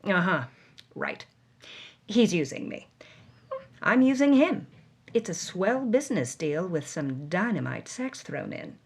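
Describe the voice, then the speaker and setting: A woman's voice: lowish voice, very animated, unhurried, gravelly, long pauses, husky, full character voice. A woman voicing a witty, adventurous actress who reads her own journal in a theatrical, wry, breathless way. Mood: dry, cynical. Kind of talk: dramatic journal narration.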